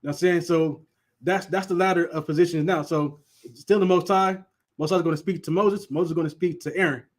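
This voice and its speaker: A man's voice: a little high, steady tone, a little fast. A man who stutters and repeats words, casually teaching a Bible class and laughing often. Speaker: teacher leading a Sabbath class.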